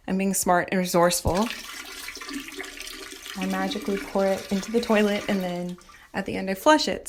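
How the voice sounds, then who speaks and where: A woman's voice: mid-range voice, lightly acted. An actress as a self-justifying young woman, faintly noisy recording. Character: self-justifying young woman drinker narrating her own diary. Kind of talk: audio diary in a drama.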